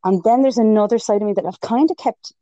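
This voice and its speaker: A woman with a fairly low voice, telling her story as an interview guest in a chatty way, often quoting her own thoughts. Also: fast talker, mellow, no echo, highs cut off. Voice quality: lower voice for a woman. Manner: chatty storytelling with self-quotes and laughs. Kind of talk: casual interview chat.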